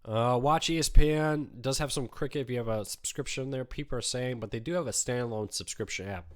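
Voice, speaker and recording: A man's voice: medium pitch, a little fast. A man hosts a laid-back live Q&A, answering viewer questions in an easygoing, rambling way full of ums. His voice is weakened by a cold he is still fighting. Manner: relaxed, chatty, many ums. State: weak voice from a cold. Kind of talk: casual live Q&A.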